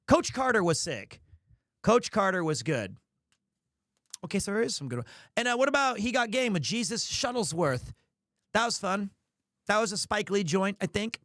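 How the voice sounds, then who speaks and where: High-voiced man chatting on a livestream. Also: sing-song, normal speed, long pauses, a bit bright, no room sound, clean studio sound. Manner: rambling, opinionated, playfully defensive. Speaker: live streamer, host of the show. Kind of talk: casual livestream chat with viewers.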